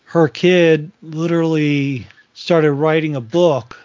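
Higher-voiced man in his forties, rambling. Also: steady tone, takes it slowly, darkish tone, no echo, dull audio. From Virginia. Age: 40s. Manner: rambling, restarts sentences. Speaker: podcast co-host. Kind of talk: casual chat.

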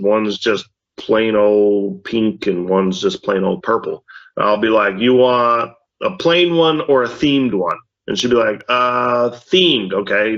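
Loud middle-aged dad rambling casually, lowish voice, kinda dull lo-fi sound. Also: male voice. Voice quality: loud, mildly deep voice. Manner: rambling, says "you know what I mean" often. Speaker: podcast co-host, father. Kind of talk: casual chat. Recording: dull lo-fi audio.

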